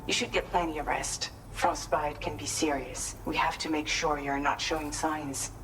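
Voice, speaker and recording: A woman's voice: low voice, steady tone, normal speed, a bit bright. An actress playing a caring, businesslike woman with a firm, matter-of-fact manner in a video game. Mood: concerned, businesslike. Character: businesslike, caring woman giving medical advice. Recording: distant voice over noisy ambience.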